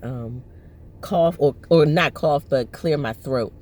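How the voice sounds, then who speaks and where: A woman in her thirties vlogging from her parked car, her voice hoarse and lowered from allergies, rambling with many fillers and restarts. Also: slow, a little mellow. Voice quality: lowered, husky voice. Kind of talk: casual personal vlog. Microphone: phone on the dashboard.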